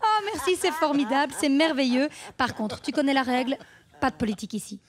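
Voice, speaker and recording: An actress playing a brisk, falsely cheerful, patronising woman leading a support circle in a satirical radio drama. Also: high voice, animated, a crowd in the background. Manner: patronising, bossy, gushing.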